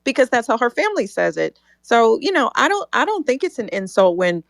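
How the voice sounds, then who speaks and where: A woman's voice: fairly high pitch, brisk, gravelly, no echo. A young adult woman co-hosting a podcast, telling stories in a casual chat and mimicking other people's voices as she goes. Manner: animated storyteller, mimics voices. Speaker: podcast co-host.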